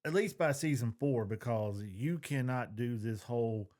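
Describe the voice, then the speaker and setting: A man's voice: medium pitch, moderate pace, darkish tone. A man chatting casually as a podcast co-host, opinionated and informal, with the odd swear word. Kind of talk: casual fan discussion.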